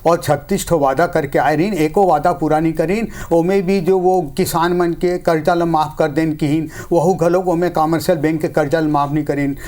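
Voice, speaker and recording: Male political spokesman, Chhattisgarhi accent, fairly high voice, argumentative panel-debate delivery. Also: steady tone, normal speed, few pauses, a little mellow.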